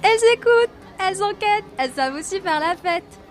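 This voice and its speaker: A gleeful bulletin announcer at a piercingly high pitch. She stretches her words in an exaggerated way, with a little music in the background. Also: lively intonation, a little fast. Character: shrill, gleeful female news-chorus announcer. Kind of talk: mock radio news bulletin. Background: faint music.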